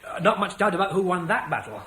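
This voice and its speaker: An actor playing a breezy, clipped young warrior in a vintage radio drama. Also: male voice, high voice, big pitch swings, fast, fairly bright tone. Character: young British warrior, breezy, upper-class. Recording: roomy echo with faint hiss.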